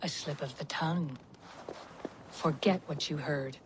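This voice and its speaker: A woman's voice: low-pitched, fairly even intonation, unhurried, slight background noise, poor audio. An actress playing a proud, sly Norse witch in a video game, sardonic and haughty. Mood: guarded, cagey. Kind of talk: video game cutscene dialogue.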